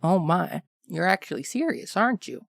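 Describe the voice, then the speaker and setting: A man's voice: high-pitched, sing-song, normal speed, vocal fry, fairly bright tone, no echo, clean studio sound, heavily acted. A man playing a cocky, unbothered captured revolutionary in an audio fan fiction, flippant and sarcastic. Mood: amused. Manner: flippant, laid-back, sarcastic.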